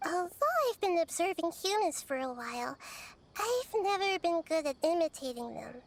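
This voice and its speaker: A woman voicing a small, wise, gentle girl deity in a video game, speaking in a measured, thoughtful, explanatory way. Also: very high voice, big pitch swings, a little hiss or hum, exaggerated voice. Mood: regretful, resolved. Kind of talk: video game character dialogue. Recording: music behind.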